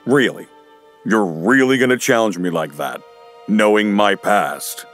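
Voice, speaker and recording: A man's voice: lowish voice, measured pace, fairly bright tone, soft background music. A man voicing a reckless, boastful, eccentric swordsman in a theatrical anime parody. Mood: indignant.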